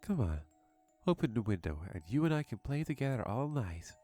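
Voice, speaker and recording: A man's voice: mid-range voice, big pitch swings, fairly quick, mellow, soft background music, pitched above the performer's own voice. A man voicing a sinister female demon hag who coaxes a child with sweet menace in a horror story. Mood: sinister coaxing.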